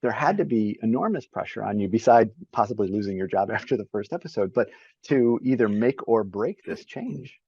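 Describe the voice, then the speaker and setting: A man hosting a podcast, asking measured, well-prepared interview questions. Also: fairly low pitch, lively intonation, a little mellow, breathy edge, dry recording. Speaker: podcast host. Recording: dry, dull lo-fi sound.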